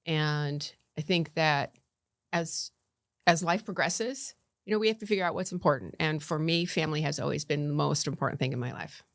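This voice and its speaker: A woman's voice: sits low, moderate pace, no echo, clean studio sound. A middle-aged woman from Connecticut, a county commissioner chatting easily as a podcast guest, laughing often. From Connecticut, USA. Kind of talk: casual interview chat.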